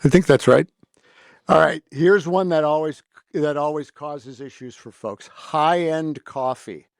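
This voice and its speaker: A man's voice: on the high side, measured pace, gravelly, no room sound, studio quality. An American man hosting a casual podcast interview in a chatty, informal, effusive way. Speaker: podcast host.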